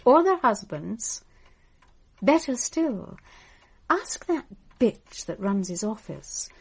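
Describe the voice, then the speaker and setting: An actress in a radio drama playing a scornful, bitter estranged wife with a caustic, haughty manner. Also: female voice, a little high, husky, full character voice. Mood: vindictive.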